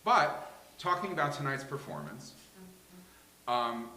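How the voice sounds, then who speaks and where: A man's voice: medium pitch, very animated, unhurried, pushed and bright. A man earnestly giving effusive feedback in a casual discussion, often restarting his sentences as he goes. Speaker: table read participant giving feedback. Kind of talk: casual post-show discussion. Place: live table read in a room. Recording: roomy echo with faint hiss.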